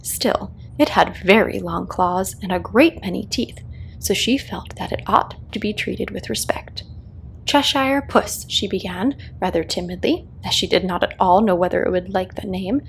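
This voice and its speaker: A woman narrating a children's story as a read-aloud host, in a calming, expressive storytelling style. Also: medium pitch, moderate pace, creaky, slight background noise, low-quality recording.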